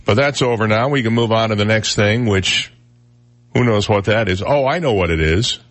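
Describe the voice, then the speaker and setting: A man's voice: lowish voice, normal speed, a bit bright, no room sound. A man hosting a casual morning radio talk show, wry and conversational with lots of filler words, sounding a little stuffed up in the nose. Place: radio studio. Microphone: studio microphone.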